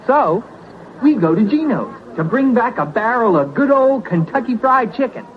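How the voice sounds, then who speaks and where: A man's voice: very high voice, expressive, moderate pace, narrow, tinny audio. A man playing a keen student in a 1970s radio commercial on an old, slightly noisy broadcast. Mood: upbeat pitch. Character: keen student plugging a takeout meal.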